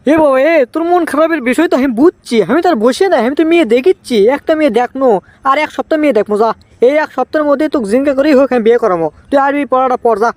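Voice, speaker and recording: A man's voice: extremely high pitch, rapid-fire, dry recording. A man voicing a village father who scolds and coaxes his grown son, in comic cartoon dialogue with a Bogura accent from northern Bangladesh. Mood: coaxing. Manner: bossy, scolding, then coaxing.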